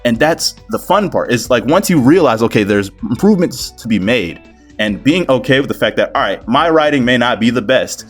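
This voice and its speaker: A man's voice: mid-range voice, a little fast, slightly rough. Slangy casual man over background music. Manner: slangy, bro-heavy, animated storytelling and self-deprecating. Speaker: video creator giving game development tips. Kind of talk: casual advice vlog. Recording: music behind the voice.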